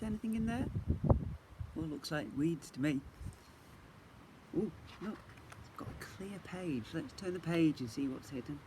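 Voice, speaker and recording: A man reading a picture book expressively as a teacher for young children, pausing to ask listeners questions. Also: on the high side, noisy background. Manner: questioning, engaging asides to listeners.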